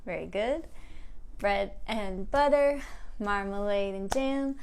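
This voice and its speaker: A woman's voice: medium pitch, slow, creaky, clear, resonant tone. A woman hosting a storytime for young children, speaking in simple encouraging phrases and sing-song reading. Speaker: children's storytime host at a library. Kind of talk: children's storytime with songs and picture-book read-aloud.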